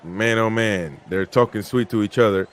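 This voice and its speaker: A man's voice: on the low side, moderate pace. A man from Peru hosting a casual live football stream, chatting about the match and reading viewer comments aloud.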